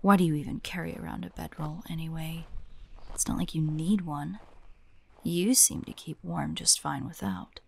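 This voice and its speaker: A woman playing a prickly, guarded young hunter who secretly cares, in a soft, breathy romantic audio roleplay with a defensive, hesitant manner. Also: low-pitched, fairly even intonation, moderate pace, exaggerated voice. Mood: defensive, flustered. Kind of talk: romantic audio roleplay acting, addressed to the listener.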